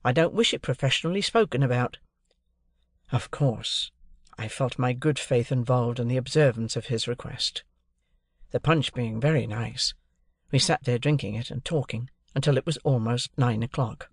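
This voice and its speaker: A male audiobook narrator reads literary prose. The voice is mid-pitched with noticeable creak, recorded dry with no room sound. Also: steady tone, moderate pace, dry recording.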